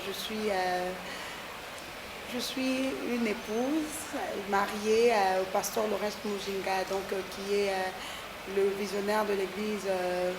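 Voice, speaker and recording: Middle-aged woman, calm and devout, roomy echo with clear noise under it. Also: medium pitch. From DR Congo. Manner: measured, devout, with some pauses. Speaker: guest, gospel singer. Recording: echoey room with background noise.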